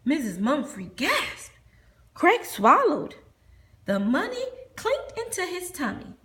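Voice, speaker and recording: Slow, very expressive woman storyteller. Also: sits high. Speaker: teacher reading aloud. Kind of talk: children's storybook narration.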